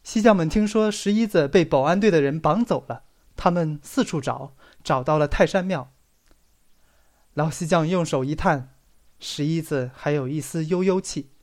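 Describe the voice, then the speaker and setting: An audiobook reader narrating a literary story in an expressive storytelling manner that stresses the dramatic phrases. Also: medium pitch.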